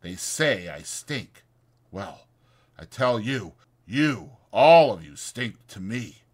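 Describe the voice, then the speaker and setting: A man's voice: on the low side, sing-song, takes it slowly, full character voice. A man voicing a proud, aloof, crippled stable hand who grumbles sharply in speech. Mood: bitter, defiant.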